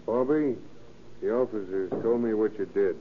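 Low-voiced rueful American crook father played by an actor. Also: normal speed, lightly acted. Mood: regretful, earnest. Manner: slangy, earnest, fatherly. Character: rueful jailbound burglar father warning his young son. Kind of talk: 1930s radio acting. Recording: muffled, faintly noisy 1930s radio recording.

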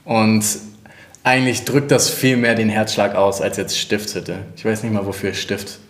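A man in his twenties from Hamburg, a church co-founder, chatting casually on a podcast, friendly and quick to laugh. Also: fairly low pitch. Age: 20s. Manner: friendly, laughs a lot, asks guiding questions. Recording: roomy echo.

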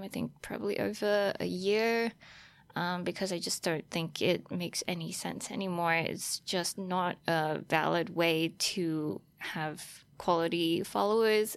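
A woman's voice: fairly low pitch, vocal fry, no room sound. A young adult woman, chatting casually as a guest in an interview. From Australia, born in Taiwan. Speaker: podcast guest, fashion influencer.

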